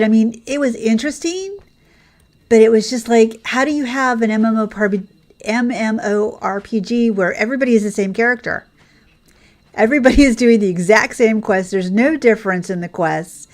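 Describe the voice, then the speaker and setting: A woman streamer chatting casually as she plays, rambling and thinking aloud in a conversational way. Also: medium pitch. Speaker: game streamer, host. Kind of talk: casual livestream chat while playing. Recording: music playing behind the voice. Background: background game music.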